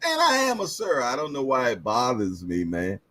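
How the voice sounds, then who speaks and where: A man's voice: medium pitch, huge pitch swings, normal speed, fairly bright tone, no room sound. A man in his fifties hosting a boxing livestream, chatting casually and reading viewer comments, chuckling and repeating phrases with slangy banter. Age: 50s.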